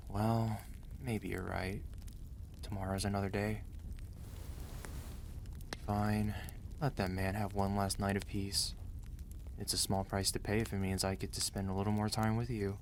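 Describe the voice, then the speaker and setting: Man acting as low-voiced, protective mafia boss husband. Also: slight background noise. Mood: wry, affectionate. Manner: earnest, commanding yet tender, measured. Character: protective, gentle mafia boss husband, ruthless outside, devoted. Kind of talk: intimate ASMR romance roleplay, speaking to the listener.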